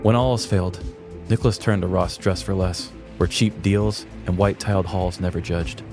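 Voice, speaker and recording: Casting: male podcast narrator, 30s, lower register, mock-dramatic comic crime storytelling over light music. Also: fairly even intonation, normal speed, vocal fry. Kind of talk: scripted true-crime comedy narration. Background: music bed.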